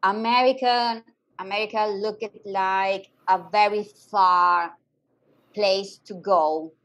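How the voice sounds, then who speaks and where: A woman teacher with an Italian accent talks slowly and unhurriedly as an interview guest. Her first languages are Italian and German, and her English is halting, with fillers and Italian asides dropped in. Her voice sits at a middle height. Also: mid-range voice, fairly even intonation, lo-fi sound. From Germany, to parents from southern Italy. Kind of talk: conversational interview.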